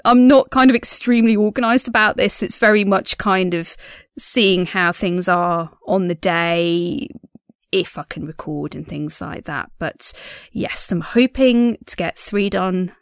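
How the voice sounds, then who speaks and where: A woman's voice: lowish voice, dry recording, clean studio sound. A woman speaking in a casual audio diary, chatty and a little tentative, with a self-effacing manner. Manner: giggles often while talking. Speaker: podcast diarist and audio drama creator.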